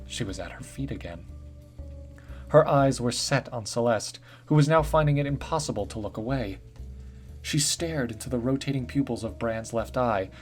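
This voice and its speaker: Male narrator, steady storytelling read, mid pitch, light music underneath. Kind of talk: reading a story aloud.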